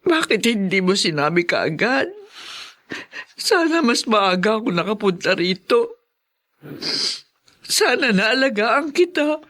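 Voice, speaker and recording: A woman's voice: mid-range voice, sing-song, slow, pauses often, fairly bright tone. An actress playing a loving, tearful elderly grandmother in a podcast drama. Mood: tender, pained. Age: older. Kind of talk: podcast radio drama acting.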